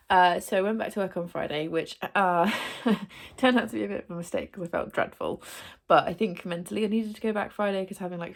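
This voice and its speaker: A woman's voice: lowish voice, creaky. A woman with a cold, chatting casually to camera in a rambling way full of fillers, sounding stuffy and coughing at times. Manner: chatty, rambling with many fillers and self-corrections. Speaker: book vlogger. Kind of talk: casual vlog chat to camera.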